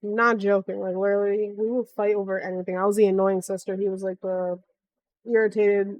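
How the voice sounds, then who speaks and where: A woman's voice: medium pitch, little pitch movement, brisk, dull audio. A woman streamer reacting to a video in casual chat, blunt and informal with slangy swearing.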